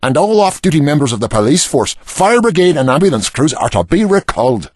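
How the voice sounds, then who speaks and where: A man playing a blunt, commanding prime minister in an audio drama, with a husky voice. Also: fairly high pitch, very animated, fairly quick, darkish tone, dry recording, studio quality. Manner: blunt, barking orders, swinging from alarm to brooding.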